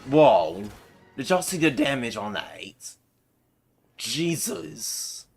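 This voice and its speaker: A man streaming a video game live, reacting with loud, excited exclamations. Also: medium pitch, extremely slow, vocal fry, soft background music.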